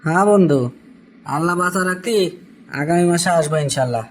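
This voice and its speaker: A man's voice: on the high side, brisk, a little hiss or hum, close to an everyday voice. A man voicing a weary, dutiful young worker living abroad in a cartoon, with a Bangladeshi accent. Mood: polite, obliging. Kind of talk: cartoon dialogue.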